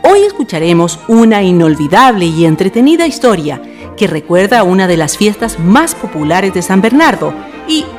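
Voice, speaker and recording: A woman's voice: medium pitch, very animated, normal speed. A woman with a Chilean accent narrating a scripted radio drama as its host, in a storytelling style. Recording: music behind the voice. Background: music.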